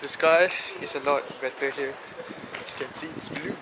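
A man's voice: fairly high pitch, steady tone, normal speed. A man in his twenties with a Singaporean or Malaysian accent, vlogging casually to a camera at arm's length outdoors, with colloquial slang. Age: 20s. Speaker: travel vlogger. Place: outdoors at train stations and streets. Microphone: camera held at arm's length. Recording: noisy outdoor street and station sound.

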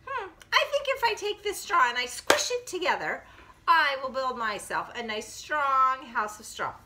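A woman voicing a small, excitable young male pig in a children's story, earnest and exclaiming. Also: high voice, normal speed, heavy background noise. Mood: earnest, determined. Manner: breathless, exclaiming, repetitive pleading.

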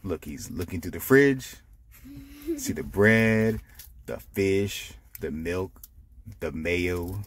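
Deep-voiced man reading a storybook, slowly. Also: slight background noise. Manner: simple explaining, animated for young listeners. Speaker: storytime reader. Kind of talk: reading a picture book aloud to children, with asides.

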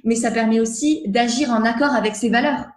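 Higher-voiced woman host in a roomy space. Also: fairly even intonation, a little fast, darkish tone. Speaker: podcast host. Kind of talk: casual conversational interview.

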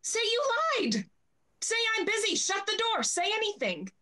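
A woman's voice: very high voice, sing-song, moderate pace, pushed and bright, a little hiss or hum, exaggerated voice. A young woman playing a jealous, imperious jilted lady in a Restoration comedy, melodramatic and haughty. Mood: outraged, jealous. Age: young adult. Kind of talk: theatrical period stage acting over video call. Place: indoor room at home, on video call.

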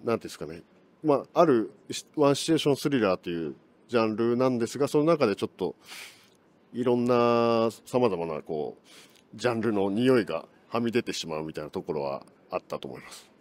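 A middle-aged film director answering interview questions, speaking quickly with many fillers. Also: male voice, medium pitch, expressive, darkish tone.

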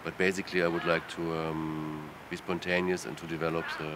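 A man's voice: very deep voice, level tone, a little fast. A man speaking thoughtfully in an interview on a city rooftop, hesitating often with fillers, traffic noise behind him. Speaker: lecturer. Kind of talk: reflective interview answers. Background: city street sounds.

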